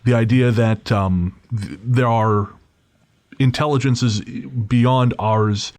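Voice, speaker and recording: A man's voice: medium pitch, steady tone, slow, darkish tone, no echo. A man hosting a podcast, casually interviewing a guest and asking curious, thoughtful questions. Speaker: podcast host.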